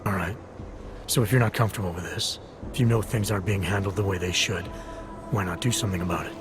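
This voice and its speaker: An actor playing a stern, principled Interpol agent and ex-cop in a video game, terse and firm. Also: male voice, deep voice, steady tone, quick pace, over music, natural voice. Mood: terse, restrained. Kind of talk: video game cutscene dialogue.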